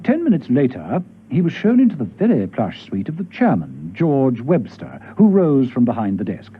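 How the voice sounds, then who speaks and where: A man narrating a scripted spy radio drama as a dry, brisk storyteller. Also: medium pitch, huge pitch swings, slightly rough. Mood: dry, scene-setting. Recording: thin, phone-like old radio recording.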